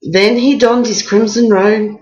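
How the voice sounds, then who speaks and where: A woman's voice: fairly high pitch, fairly even intonation, unhurried, a little mellow, muffled recording. A woman storyteller reading a rhyming picture book aloud as a bedtime story.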